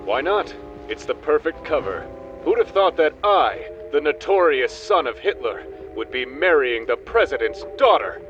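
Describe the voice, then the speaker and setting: A man's voice: fairly high pitch, very animated, normal speed. A man playing a pompous, brazen secret son of a dictator in a melodramatic comedy radio play. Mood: smug. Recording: dull lo-fi with music.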